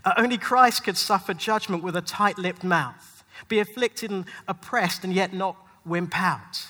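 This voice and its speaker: A male pastor delivering a rhyming spoken-word sermon, dramatic and rhythmic, with emphatic phrasing and deliberate pauses. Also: high-pitched, bright, forceful tone. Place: large hall at a live church event. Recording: long hall reverb, live event.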